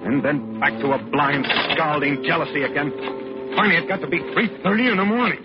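An American actor playing a jealous, tormented newlywed husband, speaking with brooding, confessional intensity in mid-century radio drama style. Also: male voice, on the high side, fast talker, close to an everyday voice. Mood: anguished. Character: jealous, tormented newlywed husband telling his own story. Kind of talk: 1940s-50s American radio drama acting and narration. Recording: dull old radio recording with music behind.

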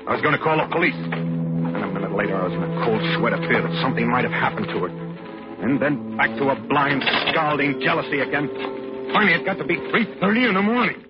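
Brooding jealous husband, old radio drama, low voice, muffled recording w loud music. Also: male voice, expressive, racing. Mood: brooding, tormented. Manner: tense, confessional, agitated. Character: anguished, jealous newlywed husband, brooding and obsessive. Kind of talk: 1940s radio acting, first-person confessional narration and dialogue.

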